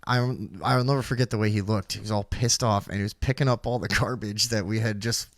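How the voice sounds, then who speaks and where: A man's voice: on the low side, fairly even intonation, quick pace, no echo. Casual profane man co-hosting, dry close sound. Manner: profane, slangy. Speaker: talk show co-host. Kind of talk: casual comedic storytelling.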